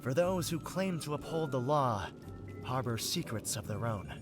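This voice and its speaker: A man's voice: medium pitch, expressive, normal speed, lightly acted. A man voicing a pompous would-be novelist. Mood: grandiose. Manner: florid, melodramatic delivery. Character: pompous adult male would-be novelist, theatrical and grandiose. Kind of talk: video game character dialogue. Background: game music.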